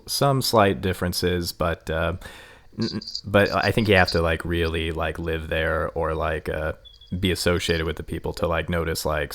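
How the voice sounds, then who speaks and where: A man's voice: deep voice, a bit bright. A man with a Midwestern American accent hosting a casual interview podcast, talking in a hesitant way with lots of filler words. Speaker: podcast host.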